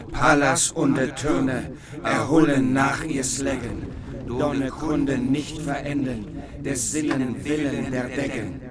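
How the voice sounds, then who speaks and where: Langsam deklamierender Mann im Getümmel. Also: male voice, medium pitch. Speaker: epic reciter. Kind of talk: slow recitation of Middle High German verse.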